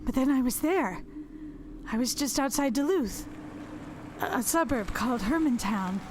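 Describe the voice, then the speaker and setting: A haunted, distraught woman in an audio drama. Her voice sits a little high and comes out haltingly, repeating words and trailing off. Faint noise and ambience lie behind her. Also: lively intonation. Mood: shaken, haunted.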